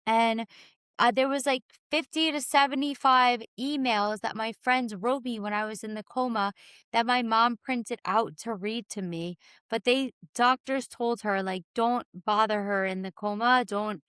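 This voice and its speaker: A woman with a raspy voice, telling her own story candidly and informally as a podcast guest. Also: a little high, fairly bright tone, dry recording. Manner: informal, peppered with "like" and "you know".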